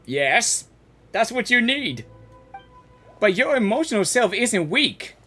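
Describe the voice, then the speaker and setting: A man's voice: high voice, very animated, slow pace, bright, forceful tone, soft background music. Casual, rambling male game streamer. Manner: informal, rambling, repetitive. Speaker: gaming streamer reacting to a game. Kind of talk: casual reaction commentary.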